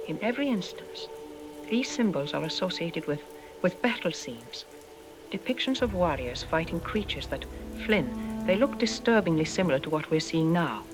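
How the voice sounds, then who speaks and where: Man playing a high-pitched, grave expert doctor. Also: lively intonation, music in the background, lightly acted. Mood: grave, uneasy. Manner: deliberate, ominous, with dramatic pauses. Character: grave, troubled archaeology expert doctor on the news. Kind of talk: apocalyptic audio drama news interview.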